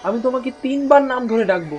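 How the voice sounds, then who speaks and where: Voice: mid-range voice, expressive, quick pace, natural voice. Pleading young brother deer over faint music woman or man acting. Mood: earnest.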